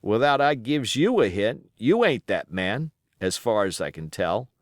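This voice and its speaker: A male reader puts on a wounded old-time pirate from a storybook, sly and rough. The sailor's folksy drawl swings widely in pitch as he wheedles and bargains, in a dry, close recording. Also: big pitch swings, measured pace, dry recording. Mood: sly, wheedling. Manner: drawled, folksy sailor speech with rustic grammar.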